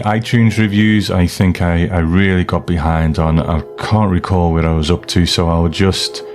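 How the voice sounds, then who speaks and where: A man's voice: low-pitched, darkish tone. A man hosting a podcast, talking casually and chattily to his listeners in an informal monologue. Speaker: podcast host.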